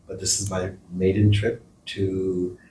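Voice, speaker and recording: A man's voice: low voice, flat delivery, unhurried, warm tone, a little hiss or hum, rough recording. A man born in India and raised in the United States, a surgeon guest, telling stories in an easygoing way with some fillers. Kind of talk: casual interview chat.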